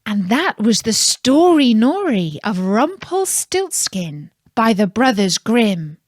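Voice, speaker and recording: A woman narrating a fairytale for children in a calm, measured, scripted storytelling style. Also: fairly high pitch, dry recording, clean studio sound.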